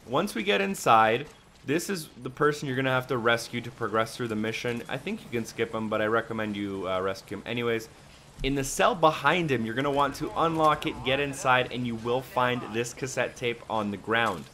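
A man's voice: mid-range voice, brisk, bright, forceful tone, slight background noise. A man giving a casual, step-by-step walkthrough commentary as a video game guide narrator. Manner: instructional, step-by-step. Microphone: voice-over on a microphone.

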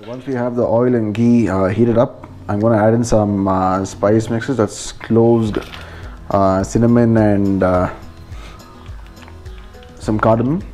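A man's voice: fairly low pitch, fairly even intonation, takes it slowly, mellow, faint music behind. A man with an Indian accent giving a casual, informal step-by-step cooking narration as a home vlogger in a kitchen.

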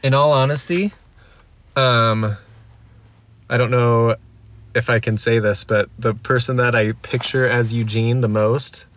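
A man's voice: mid-range voice, steady tone, unhurried, long pauses, a bit bright, no echo. A man chatting casually as a podcast guest. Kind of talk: casual chat. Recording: dull lo-fi sound, faint noise.

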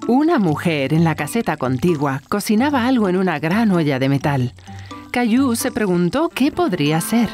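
Narradora de cuentos infantiles, muy expresiva. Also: female voice, fairly low pitch, sing-song, moderate pace, a little mellow, soft background music. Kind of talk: scripted children's storytelling narration.

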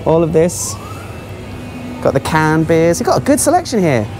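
A man's voice: fairly high pitch, big pitch swings, moderate pace, music in the background. A man giving casual, offhand, exclaiming commentary as a travel vlogger walking around a supermarket. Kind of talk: casual running vlog commentary. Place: large supermarket aisles.

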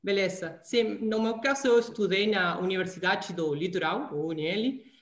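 A man's voice: high-pitched, moderate pace, fairly bright tone. A young man from Argentina with an Argentine Spanish accent, a native Spanish speaker answering interview questions over a video call, hesitant and often restarting his words. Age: young adult. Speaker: data scientist guest. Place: small room at home, indoors. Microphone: laptop webcam on video call. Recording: echoey room.

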